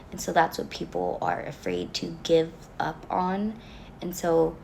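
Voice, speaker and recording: A young woman, a college student, reading her class project reflection in an earnest, halting way with pauses. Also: sits low, creaky, a little mellow, a little hiss or hum. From Mexican family background. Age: young adult.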